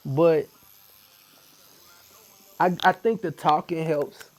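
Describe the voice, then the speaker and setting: A man's voice: a little high, takes it slowly, rough recording. A man in his forties from Missouri, chatting casually and joking as a guest while getting tattooed. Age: 40s. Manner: relaxed, joking, laughs often. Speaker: podcast guest. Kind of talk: casual conversation. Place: tattoo studio, being tattooed.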